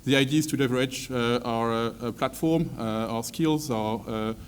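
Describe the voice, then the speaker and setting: A man introducing his corporate venture team on a panel, halting, with an uh between nearly every few words. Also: medium pitch, steady tone, slight background noise.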